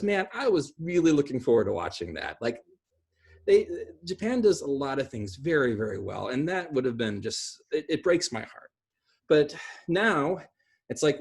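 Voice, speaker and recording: Husky-voiced man talking conversationally. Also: a little high. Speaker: guest speaker. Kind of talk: conversational online talk on film and pop culture. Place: small room at home, indoors. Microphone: video call, computer at arm's length.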